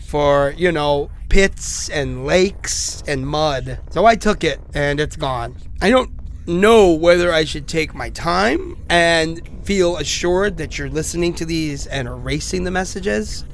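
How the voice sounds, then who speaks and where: A man's voice: on the high side, expressive, slow pace. A man playing a sick, stranded man who rambles anxiously and wryly through recorded messages in a horror audio drama. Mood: sheepish, rueful. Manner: rambling, conversational, hesitant, wry. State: sick with a cough.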